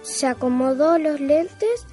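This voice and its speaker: Female narrator, high pitch, mellow tone, children's storytelling, faint music behind. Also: lively intonation, slow.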